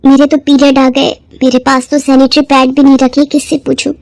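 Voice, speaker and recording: A woman plays a young, modern-minded bride in a dramatized moral tale. Her voice sits high and sounds dry and close. She speaks politely and in earnest. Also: flat delivery, fairly quick, a little mellow, no echo. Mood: worried. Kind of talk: Hindi moral-story dramatization.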